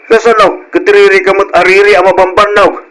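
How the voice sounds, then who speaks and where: An actor playing an exasperated, bewildered husband in a radio drama, questioning, with a Filipino accent. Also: male voice, sits high, little pitch movement, brisk, a bit bright, poor audio. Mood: exasperated, chiding. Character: exasperated husband, bewildered by his wife's choices. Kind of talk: Ilocano radio drama acting.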